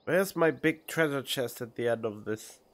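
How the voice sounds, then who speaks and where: A man giving casual live game commentary as a streamer, skeptical and halting, on a studio microphone. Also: mid-range voice. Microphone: studio condenser microphone.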